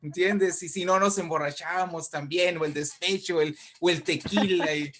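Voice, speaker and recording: A man with a fairly high voice tells history as an interview guest. He speaks conversationally and with animation, turning often to his listener with little questions. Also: moderate pace, a little hiss or hum. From Mexico. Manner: animated storytelling with frequent check-in questions. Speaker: interview guest, writer and poet.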